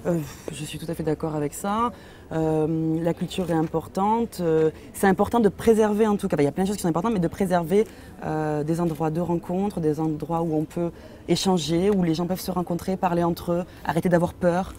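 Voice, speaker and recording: A young woman storyteller giving calm, measured interview answers. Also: on the low side, slight background noise.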